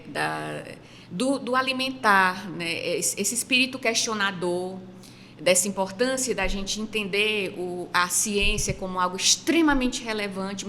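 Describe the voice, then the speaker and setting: A woman's voice: medium pitch, sharp tone, slight background noise. A woman speaking as a research guest on a panel, earnest and formal, repeating phrases for emphasis. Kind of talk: panel discussion, reflective answer. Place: makeshift studio at a university campus event.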